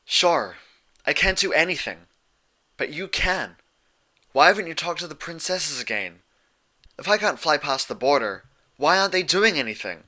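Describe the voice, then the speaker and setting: Man voicing a brash, sarcastic pegasus mare, bright and raised. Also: fairly high pitch, very animated, moderate pace, pauses often, pitched above the performer's own voice. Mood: sullen, sarcastic. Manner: slangy, sarcastic, blunt. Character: brash, defensive young female pegasus pony, stubborn and hurt.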